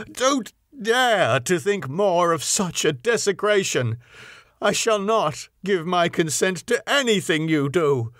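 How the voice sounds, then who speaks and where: Man acting high-pitched, impassioned young lord. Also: a bit bright, no echo, clean studio sound, full character voice. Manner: impassioned, indignant, high-strung. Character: anguished young English lord, passionate, outraged, proud.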